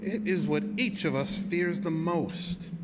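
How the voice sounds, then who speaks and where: An actor playing a sinister, courtly spook-house keeper in a horror audio drama, mock-polite and theatrical. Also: male voice, a little high, slow pace, heavy background noise. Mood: gloating, ominous. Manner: suave, mock-polite, theatrical, formal wording.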